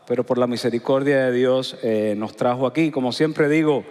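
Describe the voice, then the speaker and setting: A middle-aged man with a Cuban accent, preaching a sermon in a conversational way with frequent filler pauses. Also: medium pitch, fairly even intonation, darkish tone. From Cuba. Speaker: pastor. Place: large church hall. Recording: long hall reverb.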